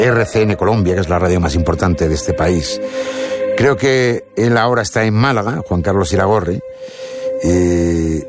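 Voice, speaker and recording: Deep-voiced radio host over music. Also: male voice. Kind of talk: radio interview.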